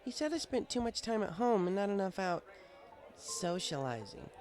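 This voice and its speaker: A bookish young unicorn mare , played by a male narrator, pitched well above a man's talking voice. The delivery is prim and precise, with a slightly superior, socially awkward air. It is a storybook character voice with music behind it. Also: big pitch swings, moderate pace, darkish tone. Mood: reluctant, sour. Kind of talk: audiobook character voice.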